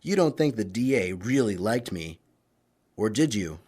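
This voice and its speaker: A man's voice: on the low side, very animated, unhurried, a little mellow, breathy edge, exaggerated voice. A man playing a confident masked vigilante in an old-time radio crime drama, clipped, sly and commanding.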